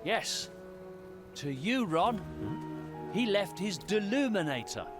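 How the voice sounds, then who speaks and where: A kindly English wizard father, high-pitched with swooping intonation. He explains politely and a little formally, as in a game cutscene, over clear music. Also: very animated, slow pace, exaggerated voice. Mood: solemn, kindly. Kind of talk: video game cutscene acting. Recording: loud music behind.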